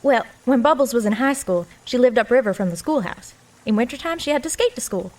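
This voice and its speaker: Cheeky, folksy maid, higher-pitched. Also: female voice, lively intonation, fairly quick, poor audio. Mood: gossipy, teasing. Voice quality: folksy rural American diction. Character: nosy, cheeky working-class maid, folksy and irreverent. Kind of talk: audio drama acting.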